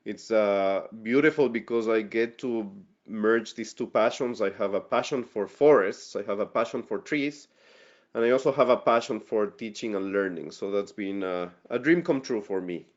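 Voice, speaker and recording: A man's voice: fairly low pitch, moderate pace. A man from Ecuador giving a friendly webinar presentation as a guest lecturer, often repeating words while he thinks. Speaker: guest speaker, forestry lecturer. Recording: dull lo-fi webinar audio.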